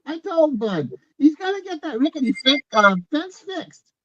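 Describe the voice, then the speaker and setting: A woman's voice: high voice, very animated, normal speed, a bit bright, dry recording. A woman playing a folksy, blunt rural gossip in a comic play reading, with plain-spoken rustic grammar, on muffled audio.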